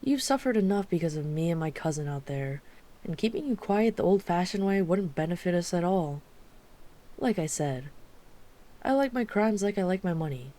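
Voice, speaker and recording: A woman's voice: on the low side, animated, normal speed, warm tone, close to an everyday voice. A woman playing a wry, chatty, flirtatious mafia boss with an apologetic streak in an ASMR roleplay. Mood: apologetic, reassuring. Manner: wry, exasperated, chatty, teasing. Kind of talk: ASMR roleplay audio drama.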